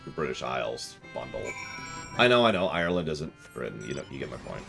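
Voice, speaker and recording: A man's voice: extremely low pitch, very animated, fast talker, creaky, over music. A man streaming a game, chatting casually with viewers and rambling in a self-deprecating way. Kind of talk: casual live-stream chat while gaming.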